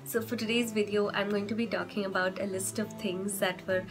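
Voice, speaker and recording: A young woman vlogging with chatty parenting advice, her voice hoarse from a cold, often stopping to rephrase with fillers. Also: mid-range voice, fairly even intonation, fairly quick. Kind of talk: casual advice vlog.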